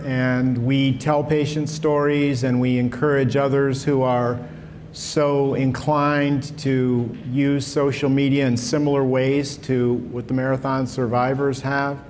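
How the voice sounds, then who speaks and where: Flat-voiced man moderating a panel. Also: mid-range voice, slight background noise. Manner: measured, with many fillers. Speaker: panel moderator and rehabilitation representative. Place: auditorium stage.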